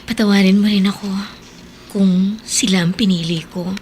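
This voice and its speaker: A Filipino woman acting in a radio drama as a gentle, hesitant former sweetheart. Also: mid-range voice, fairly even intonation, moderate pace, a little hiss or hum, lightly acted. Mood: gentle, wistful.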